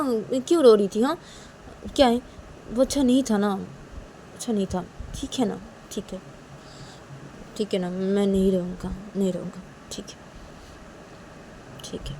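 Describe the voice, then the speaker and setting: A woman voicing a heartbroken young person who softly asks for reassurance. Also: medium pitch, slight background noise, close to an everyday voice. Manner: soft, seeking comfort.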